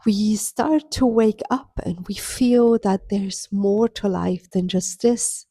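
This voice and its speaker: A woman speaking as a spiritual healer, giving an earnest, soft-spoken coaching monologue straight to her listener. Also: medium pitch, takes it slowly, breathy edge, no room sound, clean studio sound. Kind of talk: reflective motivational monologue.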